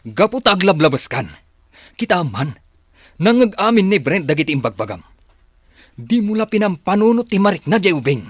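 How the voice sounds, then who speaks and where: A man playing a protective, pleading husband and father in a radio drama, with a husky voice. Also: high voice, very animated, normal speed, pauses often, no room sound, clean studio sound. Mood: firm, upset. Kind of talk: Ilocano radio drama acting.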